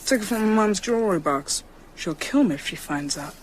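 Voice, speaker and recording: A woman's voice: medium pitch, expressive, fairly quick, slight background noise, close to an everyday voice. A woman playing a bold, earnest young American boy who hunts vampires in a radio drama. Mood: determined.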